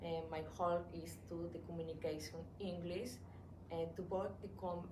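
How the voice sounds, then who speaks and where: A woman's voice: on the low side, fairly even intonation. A woman from Venezuela with a Venezuelan Spanish accent, whose first language is Spanish, introducing herself carefully in a short recorded video as an adult education student. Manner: careful, halting learner's English. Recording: distant echoey recording with ambient noise.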